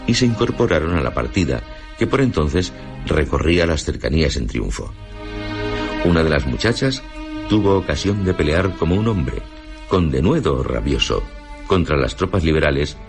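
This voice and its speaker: Very deep, solemn Spanish storyteller. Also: male voice, very animated, normal speed, creaky, over music, exaggerated voice. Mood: solemn, sorrowful. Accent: Spain. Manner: solemn, dramatic, literary delivery. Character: grave older male storyteller recounting a tragic village tale. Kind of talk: Spanish radio drama storytelling.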